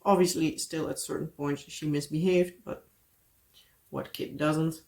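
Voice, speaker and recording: A woman's voice: low voice, steady tone, measured pace, darkish tone. A woman with a Dutch accent, a native Dutch speaker, chatting casually in a rambling way as a craft vlogger. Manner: rambling, full of "like" and "yeah". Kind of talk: casual chat.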